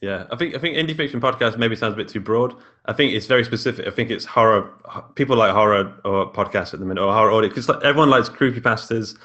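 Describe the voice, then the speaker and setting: A man with a British accent and a fairly low voice. He talks casually as a guest, stopping and repeating words as he explains things. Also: fast, few pauses, sharp tone, lo-fi sound. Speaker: podcast guest, indie horror author. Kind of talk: casual chat.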